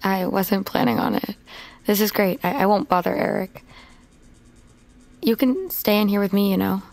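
An actress playing a determined, wounded young survivor in an audio drama, earnest and measured, with a gravelly fry. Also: female voice, medium pitch, a little mellow, natural voice. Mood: soft, tentative. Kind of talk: naturalistic audio drama acting. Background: music.

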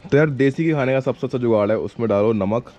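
Male travel vlogger, thirties, mid-pitched, friendly off-the-cuff chat outdoors, music underneath. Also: quick pace, dark tone, highs cut off. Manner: friendly, addresses viewers and locals as brother. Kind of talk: casual vlog chat to camera. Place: outdoors on mountain roads and villages.

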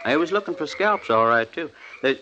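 A man's voice: a little high, animated, a little fast, sharp tone. An actor playing a chatty, folksy frontier buffalo-camp man with a rural Western American drawl and rustic grammar, in an old radio western. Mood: folksy, amused. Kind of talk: 1950s radio western acting. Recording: dull old radio recording, faint noise.

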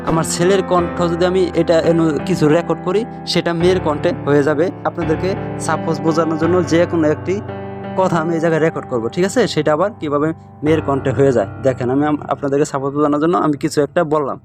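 Male tutorial host, slightly high voice, brisk delivery, repetitive step-by-step guidance, guitar music behind. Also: fairly even intonation. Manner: repetitive, keeps checking the viewer follows. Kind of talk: step-by-step screen tutorial narration. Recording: voice over plucked guitar music. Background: plucked guitar music.

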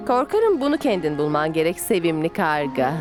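A woman voicing a capable, gentle and reassuring female fire engine in a preschool cartoon dub. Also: low-pitched, sing-song, moderate pace. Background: music.

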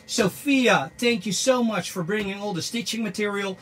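A man in his thirties with a Dutch accent, giving an excited tour of his sailboat while filming himself. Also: sits high, moderate pace. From Netherlands. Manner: enthusiastic, chatty, addresses viewers as guys. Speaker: sailing vlogger. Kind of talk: casual vlog boat tour. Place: on a sailboat in a harbor, cabin and deck. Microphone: camera at arm's length.

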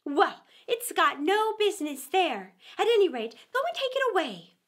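A high, loud, scolding rabbit, fussy and bossy, barking orders at his servant, as a woman puts him on. His voice leaps around with sharp, bright force. It is a storybook reading. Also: very animated, normal speed, exaggerated voice. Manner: shouting, scolding.

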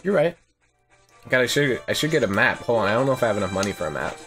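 A man's voice: mid-range voice, sing-song, racing, a bit bright. A man chatting casually with a friend as he plays a video game, slangy and sweary, with frequent "like". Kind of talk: casual let's-play chat with a friend. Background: game music.

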